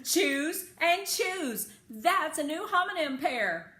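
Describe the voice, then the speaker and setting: A woman voicing an excitable young storybook girl who gushes and exclaims about words. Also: sits high, slow, pitched above the performer's own voice, heavily acted. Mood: thrilled. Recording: echoey room with faint background noise.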